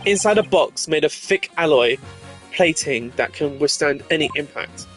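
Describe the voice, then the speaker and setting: A man's voice: fairly high pitch, animated, normal speed, fairly bright tone. A man voicing a confident, dogged defense attorney in a courtroom video game, assertive and accusing, over music.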